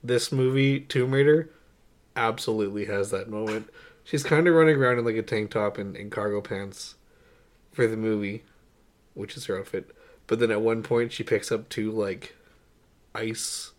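A man's voice: fairly low pitch, normal speed. A man co-hosting a podcast, chatting casually and informally about movies. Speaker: podcast co-host. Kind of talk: casual movie review chat.

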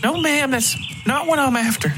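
A man voicing a desperate, weather-worn working woman who pleads urgently and haltingly in an audio story. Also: very high-pitched, background noise, pitched above the performer's own voice.

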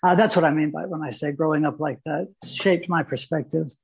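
A man from Mississippi, a guest artist talking thoughtfully in an interview, pausing and restarting as he searches for words. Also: a little high, fairly even intonation, vocal fry, husky. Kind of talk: reflective interview talk.

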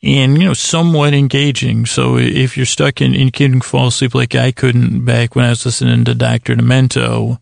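A man with a heavily creaky, gravelly voice and little melody, recorded close and dry. He hosts a bedtime podcast, meandering through halting tangents and correcting himself as he goes, in a gentle, lighthearted, goofy way. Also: medium pitch, flat delivery, no room sound. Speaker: podcast host. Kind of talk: rambling bedtime sleep podcast monologue.

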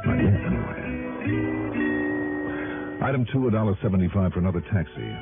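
An actor playing a cool, inquisitive American insurance investigator in an old radio detective drama, terse and probing. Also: male voice, sits low, big pitch swings, brisk. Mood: brooding narration. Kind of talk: 1950s-60s radio detective acting and narration. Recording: tinny vintage radio broadcast with music.

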